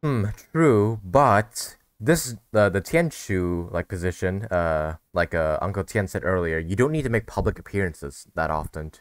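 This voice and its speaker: A man's voice: deep voice, lively intonation, a little slow, few pauses, no room sound, clean studio sound. A man giving informal, reactive let's-play commentary, with game music behind him. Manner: informal, reactive, mild swearing. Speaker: gaming video host.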